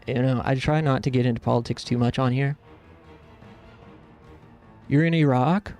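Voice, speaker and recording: Gravelly-voiced man in his thirties. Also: medium pitch, steady tone, normal speed, darkish tone, soft background music. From United States. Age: 30s. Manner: rambling, many fillers, earnest. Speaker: streamer. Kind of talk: casual chat with viewers.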